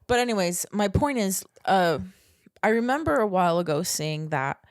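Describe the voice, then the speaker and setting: A woman's voice: mid-range voice, animated, moderate pace, no room sound, studio quality. A young woman co-hosting a podcast, chatting casually and filling her sentences with "like". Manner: casual, heavy use of "like". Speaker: podcast co-host. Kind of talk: casual chat.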